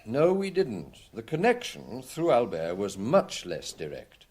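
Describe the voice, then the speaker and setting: A shrewd police detective in a British radio crime drama. His pitch swings freely as he explains things with deliberate, self-assured authority. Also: huge pitch swings, a little mellow, husky, exaggerated voice. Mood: assured, explanatory.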